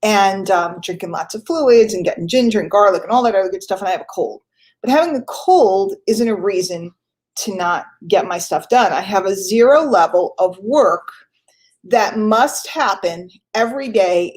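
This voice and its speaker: A woman's voice: mid-range voice, lively intonation, moderate pace, darkish tone. Woman host, slightly congested with a cold, earnest motivational talk, repeats her points, casual asides. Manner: earnest, repeats key lines for emphasis; casual, conversational, with fillers and self-corrections. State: stuffy from a cold.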